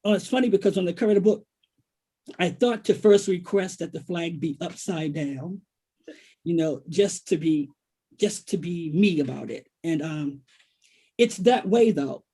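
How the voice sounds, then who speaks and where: American guy, kinda high raspy voice, thinking out loud, repeats phrases for emphasis, literary chat. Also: moderate pace. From Washington, D.C., United States. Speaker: poet and writer, guest author. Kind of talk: reflective literary conversation.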